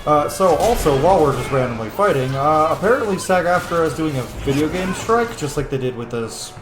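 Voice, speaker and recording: A man streams a video game, chatting casually and wandering into asides. His voice sits in a roomy, echoey space with clear game music playing behind him. He throws playful exclamations at the characters as he plays. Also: a little high, animated, fairly quick. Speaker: gaming streamer and content creator. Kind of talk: casual let's play commentary. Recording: roomy echo under loud game music.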